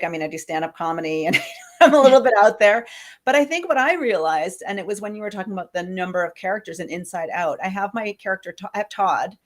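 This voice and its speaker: A woman hosting a casual interview over a video call, her voice sitting a bit low. She talks eagerly and laughs easily, and she has a cold. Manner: chatty, enthusiastic, laughs often. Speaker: podcast host.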